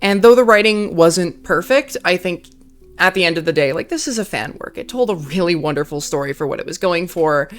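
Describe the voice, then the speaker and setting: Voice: mid-range voice, expressive, fairly quick. A streamer wrapping up a live game session in casual chat, talking freely with lots of "like" and swearing.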